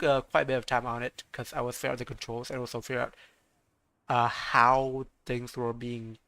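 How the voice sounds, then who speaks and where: A man giving casual commentary while streaming a game, with a gravelly edge to his voice. He talks in halting, chatty bursts that are full of restarts. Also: medium pitch, fairly bright tone, no echo.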